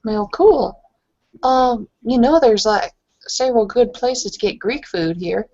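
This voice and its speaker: A woman's voice: on the high side, animated, takes it slowly, dark tone. A woman improvising in-character conversation as a player in a roleplaying game. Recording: dull, lo-fi online voice chat.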